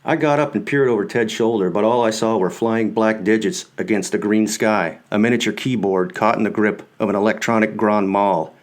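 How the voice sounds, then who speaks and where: A man's voice: fairly low pitch, steady tone, normal speed, few pauses. A man reading his own book as scripted audiobook narration, in the first person.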